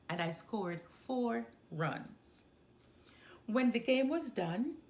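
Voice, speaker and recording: A woman reading a children's picture book aloud as a storyteller, with deliberate phrasing and dramatic emphasis. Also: a little high. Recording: roomy, echoey sound.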